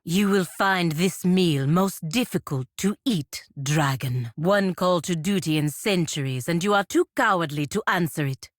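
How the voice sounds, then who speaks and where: An actress playing a proud, sardonic fantasy warrior-diplomat in a video game, speaking formally and with dry scorn. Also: female voice, fairly low pitch, a little slow, few pauses, no room sound, lightly acted. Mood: stern, contemptuous. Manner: formal, clipped, dryly scornful. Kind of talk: video game character dialogue.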